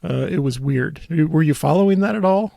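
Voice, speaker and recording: A man with an American accent and a heavily creaky, fry-laden voice, pitched a little high for a man. He talks like a wry, sardonic host giving casual political commentary, and the sound is dry and close. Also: moderate pace, mellow, no echo. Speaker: talk show host discussing local politics.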